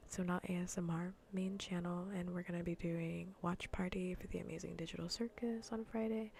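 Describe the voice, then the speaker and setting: Whispering woman streamer, low creaky voice. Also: steady tone, brisk. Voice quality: soft, breathy, mostly whispered. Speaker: livestreamer hosting an ASMR gaming stream. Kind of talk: soft ASMR casual chat to viewers. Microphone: close ASMR microphone.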